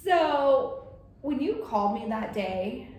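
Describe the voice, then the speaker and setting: High, lively woman in an echoey room. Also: slow. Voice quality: high-pitched voice. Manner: laughing, animated storytelling with quoted voices. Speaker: podcast co-host. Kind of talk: casual chat. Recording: very echoey room with faint noise.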